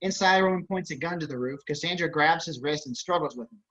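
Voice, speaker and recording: Male stage-directions reader, slightly high voice, scripted narration, lo-fi online call sound. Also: quick pace, sharp tone. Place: indoors, on a video call. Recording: dull video-call audio.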